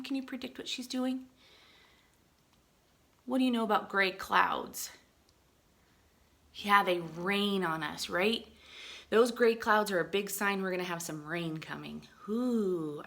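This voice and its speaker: Bright, animated woman reading to children. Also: fairly high pitch. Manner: expressive, explanatory, addressing young listeners with questions. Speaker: teacher reading a picture book to children. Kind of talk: animated read-aloud with chatty asides and questions.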